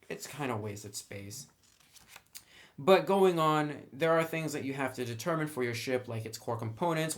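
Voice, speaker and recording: A man giving a casual, unscripted review as he browses through a book, talking conversationally through each item. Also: mid-range voice.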